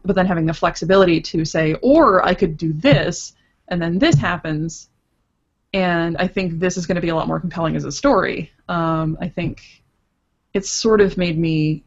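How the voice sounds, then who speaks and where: A woman's voice: on the low side, lo-fi sound. A woman guest on a casual podcast, explaining her writing thoughtfully and working through hypothetical examples as she goes. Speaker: podcast guest, interactive fiction writer.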